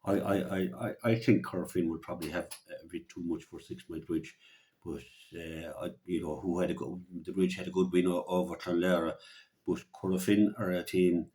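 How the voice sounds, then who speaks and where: Deep-voiced Irishman previewing hurling. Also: level tone, mellow. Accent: Irish, County Clare. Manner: halting, often repeating words. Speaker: local radio hurling pundit. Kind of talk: conversational sports preview.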